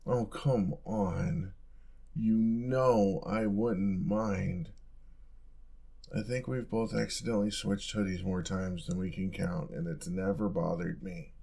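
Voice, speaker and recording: A young male roommate played by a man talks in a low voice with plenty of gravelly fry. He is caring and lightly teasing, reassuring in a casual way. The delivery is intimate audio roleplay in an ASMR style. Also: steady tone, slow pace, dark tone, full character voice. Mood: gentle, caring.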